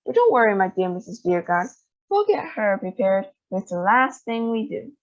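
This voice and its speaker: A woman's voice: mid-range voice, sing-song, moderate pace, natural voice. A woman voicing an eccentric, weary candy maker in a read-aloud storybook, sighing and rueful. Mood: matter-of-fact. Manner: sighing, rueful, matter-of-fact. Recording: dull lo-fi recording.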